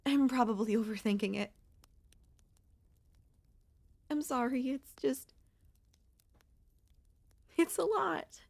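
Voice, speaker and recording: A woman voicing a gentle, wistful and anxious young centipede monster girl in an ASMR audio roleplay, speaking softly and hesitantly in a conversational way. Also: sits high, animated, a little slow, creaky, no room sound, heavily acted. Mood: sad, wistful.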